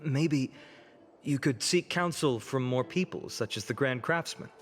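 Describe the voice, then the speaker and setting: An actor playing a polite, thoughtful adviser in a video game, courteous and considerate. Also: male voice, mid-range voice, unhurried, a little hiss or hum, close to an everyday voice.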